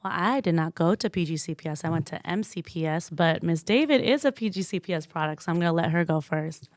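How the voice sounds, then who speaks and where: A young adult woman, a guest on a school podcast, telling her story in a lively, candid, slangy way. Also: fairly low pitch, fast, no echo, studio quality. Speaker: guest, former student working with the school. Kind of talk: casual podcast chat.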